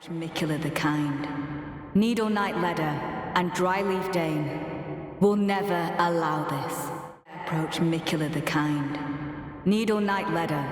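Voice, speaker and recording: A low-voiced, devout knight, coldly resolute. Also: female voice. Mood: defiant. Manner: formal, measured, archaic diction. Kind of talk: dramatic fantasy game acting. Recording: loud music behind the voice. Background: game music.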